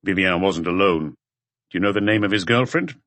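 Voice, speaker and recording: A man playing a calm police detective chief who questions tersely and methodically in an audiobook. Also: sits low, a little fast, dry recording. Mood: calm, probing.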